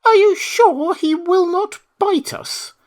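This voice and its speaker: A storybook reader voicing a tiny, proud queen of the field mice in a very high, squeaky voice. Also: big pitch swings, slow pace, exaggerated voice. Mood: anxious. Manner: indignant, dainty.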